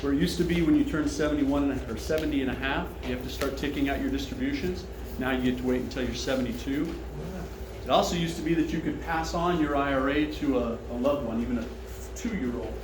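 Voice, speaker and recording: A man's voice: medium pitch, fast. Male seminar presenter, big carrying voice, chatty and welcoming; recorded from a distance in a reverberant dining room with background noise. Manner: friendly, conversational, invites questions. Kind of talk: presentation to a seated audience. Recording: echoey room, mic far off, noisy.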